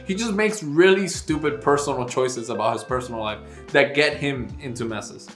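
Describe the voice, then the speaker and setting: A man's voice: medium pitch, normal speed, fairly bright tone. A man co-hosting a book vlog in casual conversation, with emphatic, wryly teasing delivery. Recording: roomy echo, faint music behind.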